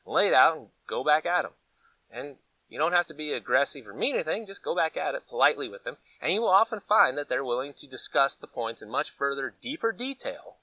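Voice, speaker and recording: Animated American man with a bright, forceful voice. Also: on the high side, fast, no echo, recorded from a distance. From Colorado, United States. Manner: animated, folksy, conversational storytelling with acted-out asides. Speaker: host of a preparedness gear channel. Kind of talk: casual rambling vlog chat.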